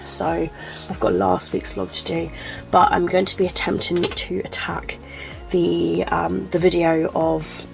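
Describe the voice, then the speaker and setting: A woman's voice: fairly low pitch, measured pace, vocal fry, soft background music, rough recording. A woman chatting casually to camera as a book vlogger, sounding chesty and congested, rambling with lots of ums and likes. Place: at home.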